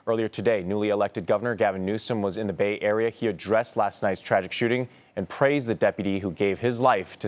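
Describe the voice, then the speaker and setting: A man anchoring a newscast, reading scripted news in a broadcast delivery. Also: on the low side.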